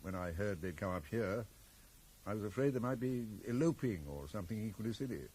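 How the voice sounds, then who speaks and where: Uneasy, low-voiced, protective father. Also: animated, a little mellow, slightly rough. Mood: uneasy, brooding. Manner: hesitant, deliberate, formal. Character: uneasy, protective middle-aged father of a grown daughter. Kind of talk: 1980s radio drama acting. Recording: slightly noisy old radio broadcast recording.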